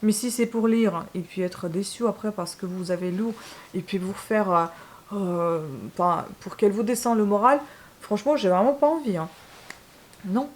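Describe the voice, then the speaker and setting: A woman with a Ukrainian accent speaks French in a casual vlog, addressing her viewers directly. She hesitates often, restarting sentences and filling gaps with "euh", and her tone is frank and a bit fed up. Her voice sits at a middle pitch for a woman. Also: moderate pace, slight background noise. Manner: hesitant, many fillers and restarts, frank and exasperated. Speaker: booktube channel host.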